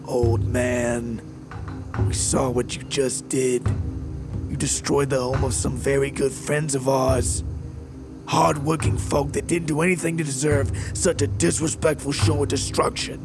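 A man's voice: medium pitch, level tone, a little slow, over music, lightly acted. A man voicing a fat, hairy mobster spider who speaks in cold, clipped threats. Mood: menacing. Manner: menacing, clipped.

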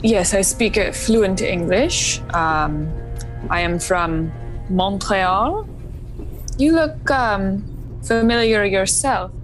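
Woman as dramatic French Canadian painter, mid pitch, music bed. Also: takes it slowly, close to an everyday voice, low-quality recording. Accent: French Canadian, put on. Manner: dramatic, hesitant with fillers. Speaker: tabletop player.

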